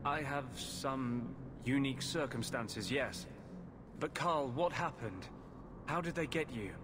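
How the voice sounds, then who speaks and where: An actor playing an anguished, idealistic rebel mage healer in a video game, speaking with grave intensity. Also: male voice, medium pitch, slow pace, noisy background, lightly acted. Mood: sorrowful. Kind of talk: dramatic video game voice acting. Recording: loud music behind the voice.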